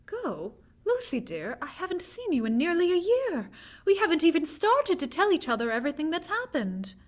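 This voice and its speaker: A woman's voice: very high voice, sing-song, normal speed. A woman playing an earnest, frightened young woman in a vintage-style radio drama, speaking with dramatic, anguished deliberation. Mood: worried, soothing.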